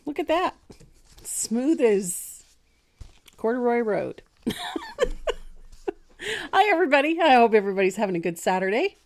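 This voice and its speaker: A woman in her sixties who chats through a painting lesson with a lively, swooping voice. She laughs often and pokes fun at herself in a relaxed, easygoing way. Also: on the high side, normal speed, pauses often. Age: 60s. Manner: chatty, laughs often, self-deprecating. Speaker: decorative painting teacher. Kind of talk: casual livestream painting lesson.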